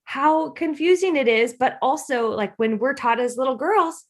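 A woman co-hosting a podcast in casual chat, animated and emphatic, often exclaiming. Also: high-pitched, moderate pace. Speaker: podcast co-host.

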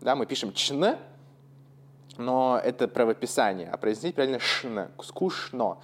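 A man's voice: mid-range voice, normal speed. A man from Russia co-hosting a podcast, speaking casually and thinking aloud in digressions. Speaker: podcast co-host.

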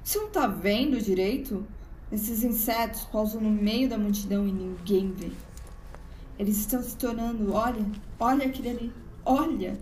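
A woman's voice: medium pitch, moderate pace, darkish tone, lightly acted. A woman with a Brazilian accent playing a homeless, bitterly resigned doomsayer in an audio drama, speaking with urgent emphasis. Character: homeless woman, wary doomsayer witnessing an alien invasion. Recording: echoey room with faint background noise.

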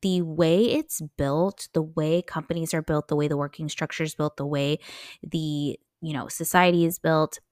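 A young American woman speaks as a podcast host and coach. Her voice sits a little low, and she is chatty and enthusiastic, leaning on words for emphasis. The sound is close and dry, with hardly any room to it. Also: fairly even intonation, normal speed, a little mellow, no room sound. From United States. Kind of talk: informal solo podcast talk.

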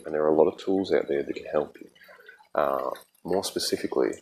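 A man's voice: very deep voice, slight background noise, poor audio. An Australian man hosting a solo podcast, speaking in an earnest, reflective way with many ums and restarts. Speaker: podcast host.

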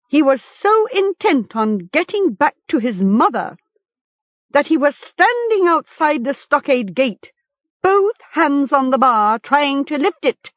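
A woman's voice: sits high, dry recording, lo-fi sound, voice pushed up, full character voice. A woman voicing a mocking male chieftain in a storybook, theatrical and accusatory. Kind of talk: storybook character acting.

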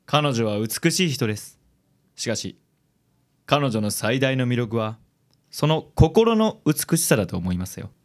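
A man's voice: medium pitch, sing-song, measured pace, darkish tone. Self-admiring male investigator acted by a man, medium register, suave and theatrical, for radio drama. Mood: gentle, suave. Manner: smooth, theatrical self-assurance.

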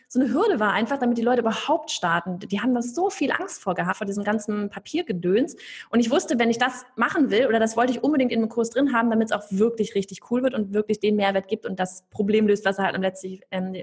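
A young woman talking casually as a podcast guest, lively and chatty with many fillers and restarts. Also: fairly high pitch. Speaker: podcast guest, online course creator.

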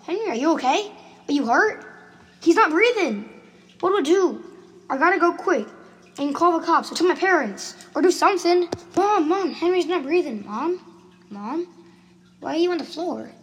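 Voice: very high voice, lively intonation, moderate pace, a bit bright. A performer playing an earnest, insistent schoolboy in an amateur radio drama. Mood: panicked. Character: Timmy, earnest schoolboy who insists on what he saw. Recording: home recording with clear noise and clicks.

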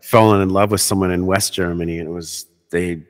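A man with a deep, low voice hosts a podcast in loose, easygoing conversation. He rambles, piling up "like" and "uh" and restarting his sentences as he goes. His voice rings out with a long reverb tail. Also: steady tone, fairly quick. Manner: rambling, many fillers and restarts. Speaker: podcast host. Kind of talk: casual conversation.

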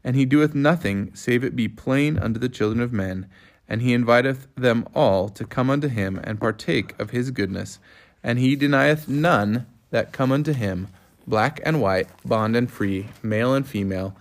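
A man's voice: medium pitch, moderate pace. A man reading scripture aloud as part of a family reading.

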